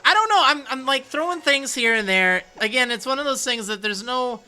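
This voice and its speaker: A man with a high, youthful-sounding voice streaming a game, reading viewer messages and answering with rambling encouragement. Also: expressive, brisk, bright, forceful tone, smooth, faint music behind. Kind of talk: casual live-stream chat.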